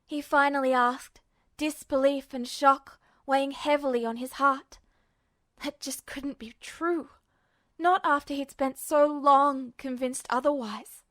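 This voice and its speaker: High-voiced woman reading a story. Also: steady tone, a little slow, a bit bright, dry recording, studio quality. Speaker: podfic reader. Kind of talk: audiobook narration of fan fiction.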